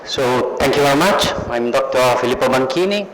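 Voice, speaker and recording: A man with an Italian accent gives an acceptance speech as an award recipient, haltingly searching for words. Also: mid-range voice, lively intonation, a crowd in the background. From Italy. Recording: noisy live event recording.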